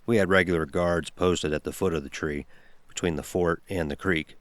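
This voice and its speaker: A man's voice: sits low, steady tone, normal speed, fairly bright tone, no room sound. An actor playing a grown man telling a first-person horror story about his boyhood. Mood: reflective storytelling. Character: grown man recalling a frightening boyhood summer. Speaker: narrator.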